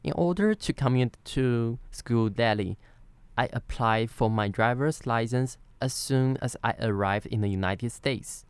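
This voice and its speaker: Clear-voiced Taiwanese man telling a story. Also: mid-range voice, a little slow, no room sound. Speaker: podcast co-host. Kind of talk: semi-scripted personal storytelling.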